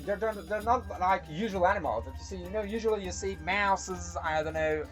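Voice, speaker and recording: A woman's voice: fairly low pitch, a little fast, soft background music. A woman from Iran telling stories for children in a dramatic, playful way, with casual asides. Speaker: storyteller and host.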